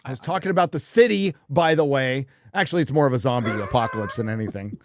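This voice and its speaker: A man's voice: medium pitch, fast, slight background noise. Laid-back man hosting a live stream. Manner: laid-back, reads out donations. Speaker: podcast host. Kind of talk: casual live-stream chat.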